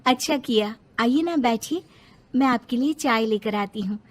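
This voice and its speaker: Gentle young mother, acted by a woman narrator: fairly high voice, patient, placating, moral-story style. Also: moderate pace, lightly acted. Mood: welcoming. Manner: soft-hearted, placating.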